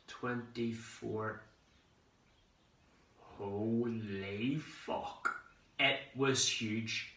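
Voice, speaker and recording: A man acts out a cheeky young man, full of excitement, in a theatrical monologue. The voice is bright and medium-pitched, with dramatic pauses and conversational storytelling. It sounds echoey, recorded at a distance from the microphone. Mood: awed. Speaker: amateur actor performing a monologue. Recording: roomy echo, distant microphone.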